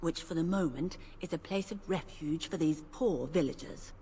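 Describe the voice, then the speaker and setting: An actress playing a devout, principled priestess who speaks in a solemn, measured way. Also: female voice, sits low, mellow, a little hiss or hum, lightly acted. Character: devout older female priestess, gentle but principled. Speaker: game character. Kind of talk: video game voice acting. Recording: game audio with faint ambience.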